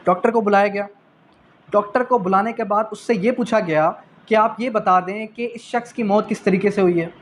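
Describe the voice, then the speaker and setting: A man with a Pakistani accent teaches a revision class in a slightly high voice. He asks rhetorical questions, answers them himself and repeats key phrases, switching to English for technical terms. There is some noise behind him. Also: moderate pace. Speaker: law teacher. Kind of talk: exam revision lecture.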